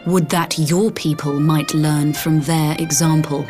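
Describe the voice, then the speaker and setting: A woman playing a serene, gently reproving forest leader in a game cutscene, speaking formally and with deliberate composure. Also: sits low, little pitch movement, soft background music. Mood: calm, reproving.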